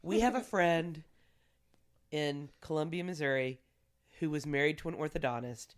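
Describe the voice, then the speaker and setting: A woman's voice: low voice, normal speed. A woman co-hosting a podcast in casual group banter, swearing and joking as she tells stories. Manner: profane, joking storyteller. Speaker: podcast co-host.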